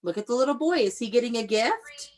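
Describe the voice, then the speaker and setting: A woman's voice: on the high side, sing-song, fast talker. A woman teaching young children in an online read-aloud class, prompting them with questions and encouragement in an instructive way.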